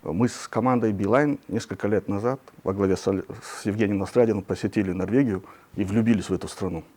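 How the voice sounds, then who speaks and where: A man's voice: on the low side, quick pace, dark tone. A man hosting an interview, speaking in a formal, announcer-like way. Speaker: interview host.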